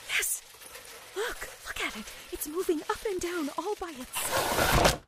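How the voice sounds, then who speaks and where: A woman's voice: extremely high pitch, expressive, unhurried, very noisy. A woman playing a curious, jumpy wife in an old-time radio horror drama, urgent and exclamatory. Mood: frightened. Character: jumpy, curious, insistent wife in a haunted house.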